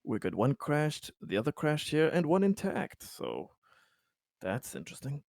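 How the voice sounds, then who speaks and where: A man's voice: a little high, wildly swooping pitch, unhurried, creaky, darkish tone, dry recording, studio quality. A man playing a tabletop game, making suggestions in casual table talk.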